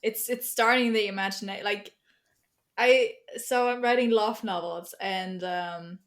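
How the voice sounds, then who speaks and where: A woman's voice: mid-range voice, steady tone, a little slow. German woman chatting on a podcast. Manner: chatty, many fillers and laughs. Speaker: guest writer. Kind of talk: casual podcast chat.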